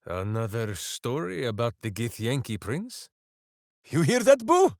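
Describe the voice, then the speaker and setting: A huge, righteous, simple-hearted warrior from a fantasy video game. His delivery is slow and bombastic, with enormous swings in pitch. He declaims quaint phrases boisterously, in a very dry, close recording. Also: huge pitch swings, dry recording. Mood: earnest, hearty. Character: big brawny good-hearted warrior, boisterous, simple, righteous, devoted to hamster. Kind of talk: video game character voice lines.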